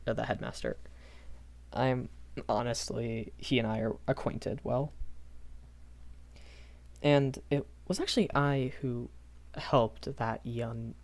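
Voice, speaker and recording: A man's voice: mid-range voice, creaky. A young man playing a shy, kindly magic academy professor in a rambling audio roleplay, stammering and hesitant. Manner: hesitant, stammering, nervous chuckles, apologetic.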